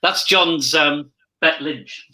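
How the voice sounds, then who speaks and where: Very bright-voiced, chatty rambling man. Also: fairly high pitch, slow, rough recording. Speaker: pantomime dame performer, panel guest. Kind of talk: casual chat over video call. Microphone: earpiece microphone on a remote call.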